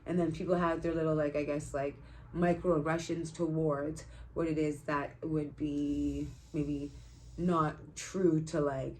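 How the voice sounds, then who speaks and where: A woman's voice: low-pitched, flat delivery, a little slow, darkish tone, low-quality recording. A woman from Canada chatting casually and rambling a little as she co-reviews a movie in a vlog.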